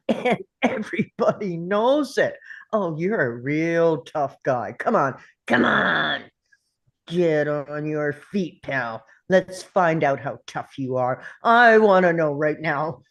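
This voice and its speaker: A woman playing a bullying, sarcastic male school principal in a script read, taunting with drawn-out contempt. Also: fairly low pitch, exaggerated voice. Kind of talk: table read of a film script.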